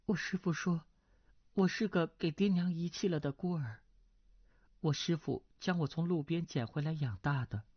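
A man's voice: fairly high pitch, moderate pace, slightly rough, pitched above the performer's own voice. A man voicing a naive, sheltered young woman who asks blunt, artless questions, as he reads a martial arts novel aloud. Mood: innocent curious. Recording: slightly dull lo-fi recording.

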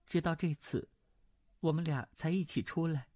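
A man's voice: a little high, normal speed, voice pushed up, close to an everyday voice. A man voicing a naive, sheltered young woman who asks blunt, artless questions, as he reads a martial arts novel aloud. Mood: innocent curious. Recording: slightly dull lo-fi recording.

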